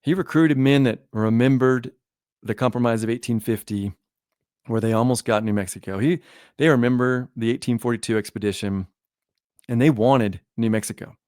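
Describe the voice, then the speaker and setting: A man's voice: mid-range voice, normal speed, a little mellow, no echo, studio quality. A man who is sick and losing his voice, talking through history in a rambling, self-correcting way during a casual podcast interview. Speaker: guest historian.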